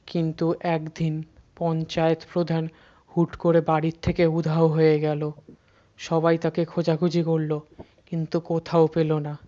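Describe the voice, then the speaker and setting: Flat-toned horror storyteller. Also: medium pitch, dull audio. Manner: dramatic pauses and repeated words for suspense. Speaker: narrator. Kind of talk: scripted horror story narration.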